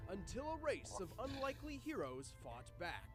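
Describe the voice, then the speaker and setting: A man's voice: sits high, huge pitch swings, moderate pace, music in the background. A man giving scripted, dramatic, explanatory narration.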